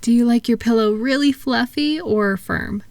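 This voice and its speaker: Soft-spoken, soothing woman in ASMR roleplay. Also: fairly high pitch, no room sound. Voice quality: soft, gentle, breathy at times. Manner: soothing, reassuring, unhurried, asking gentle questions. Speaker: audio roleplay performer playing a thought-calming practitioner.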